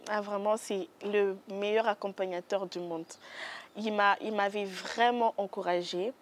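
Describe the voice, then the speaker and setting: Soft-spoken young Congolese French woman. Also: medium pitch, steady tone, measured pace. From Democratic Republic of the Congo. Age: young adult. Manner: hesitant, many fillers, soft-spoken. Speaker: guest photographer. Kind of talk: interview answers. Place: TV studio.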